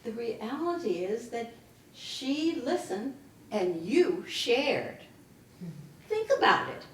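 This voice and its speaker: Actress as bitter grieving daughter, slow and swooping. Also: female voice, fairly high pitch, big pitch swings, a little hiss or hum. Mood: angry, accusing. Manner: biting sarcasm, emphatic dramatic delivery. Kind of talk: staged dramatic reading. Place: indoor live reading at a public library. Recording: echoey room, picked up from a distance.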